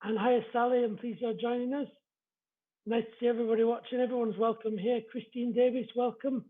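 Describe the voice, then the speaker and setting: High-voiced Yorkshire man, welcoming and chatty. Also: level tone, a little fast. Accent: East Yorkshire. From East Yorkshire, England. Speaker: spiritual medium hosting a live show. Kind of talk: casual livestream chat greeting viewers. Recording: dull, lo-fi livestream audio.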